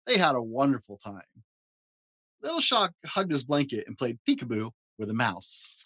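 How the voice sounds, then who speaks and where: A man reads a picture book aloud in an expressive storytelling style. His pitch moves around freely for drama, and the sound is dry, with little room in it. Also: normal speed, dry recording. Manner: animated, dramatic delivery. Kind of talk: expressive children's story narration.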